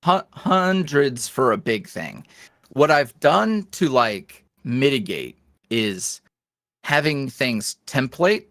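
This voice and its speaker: A man's voice: medium pitch, big pitch swings, slow pace, a bit bright, no room sound. Young guy rambling on a podcast, lots of likes and ums, dry close sound. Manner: rambling, filler-heavy, self-reflective. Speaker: podcast guest, roleplay creator. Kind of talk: casual interview chat.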